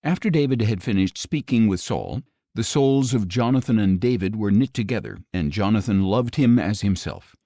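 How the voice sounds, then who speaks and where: Male scripture narrator, lowish voice, very lively intonation, measured storytelling, dry close studio sound. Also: dry recording. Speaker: audiobook narrator.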